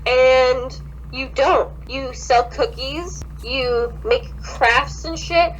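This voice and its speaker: Casting: woman podcaster, fairly high voice, sarcastic and sweary, casual comic read-aloud, hummy noisy recording. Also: steady tone, extremely slow, highs cut off. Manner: sarcastic, sweary, blunt commentary. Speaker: podcast co-host reading fan fiction aloud. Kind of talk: casual comic banter and mock-dramatic reading.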